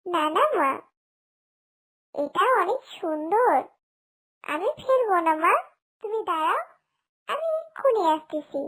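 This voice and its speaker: A cheerful little daughter in an animal cartoon. The voice is extremely high and childlike, with a sing-song, eager and insistent delivery. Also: female voice, expressive, takes it slowly, pauses often, fairly bright tone, exaggerated voice, rough recording. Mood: eager pleading. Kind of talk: cartoon character acting.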